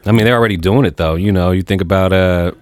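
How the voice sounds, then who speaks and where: A man's voice: deep voice, quick pace, darkish tone, dry recording. A man in casual co-host chat, speaking in a slangy, emphatic way.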